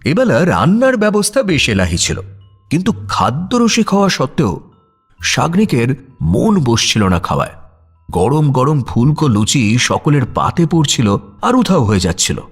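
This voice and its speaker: A man's voice: mid-range voice, wildly swooping pitch, moderate pace, slightly rough. A man narrating a scripted story in a dramatic storytelling style. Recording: music bed under voice. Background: background music.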